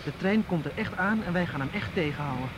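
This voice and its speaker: A high-voiced man in a radio drama, determined and pragmatic with some nerves. His delivery is dry and matter-of-fact over clearly audible vehicle noise. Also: expressive, quick pace. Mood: tense, determined. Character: determined, pragmatic activist waiting nervously. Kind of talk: naturalistic Dutch radio drama acting.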